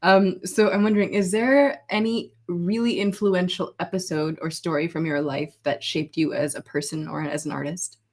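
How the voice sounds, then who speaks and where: Slightly low-voiced woman hosting a casual interview. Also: steady tone, normal speed, hardly any pauses, a little mellow. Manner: supportive, affirming listener. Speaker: podcast host, interviewer.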